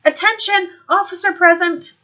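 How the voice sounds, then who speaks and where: A woman voicing a smug, scheming male police sergeant who is loud, oily and falsely innocent. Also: high-pitched, lively intonation, a little fast, lo-fi sound, pitched above the performer's own voice.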